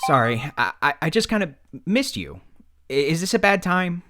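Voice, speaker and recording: A man's voice: a little high, sing-song, normal speed. A man voicing a timid young troll boy who stammers and hesitates, in a fan audio drama. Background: music.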